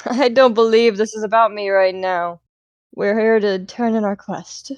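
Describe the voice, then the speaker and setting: A woman's voice: mid-range voice, steady tone, measured pace, no echo, dull audio. A woman joining in casual banter as a player at a tabletop game. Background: soft music.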